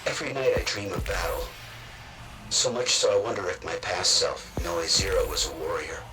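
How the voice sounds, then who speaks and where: A man's voice: deep voice, expressive, moderate pace, music in the background, low-quality recording. A man playing a haunted, vengeful android warrior in a formal, declamatory game monologue. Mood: brooding, vengeful.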